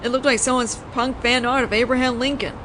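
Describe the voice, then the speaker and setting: A woman's voice: fairly high pitch, steady tone, fairly quick, a bit bright, natural voice. A woman playing a flirtatious, teasing mafia boss in an audio roleplay, talking in a playful, conversational storytelling way. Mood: amused reminiscing. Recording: noisy outdoor city ambience, traffic.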